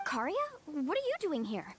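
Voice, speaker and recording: Upbeat young female guide for an anime game: high, lively, decisive, friendly, faint noise behind. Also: normal speed. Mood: thoughtful.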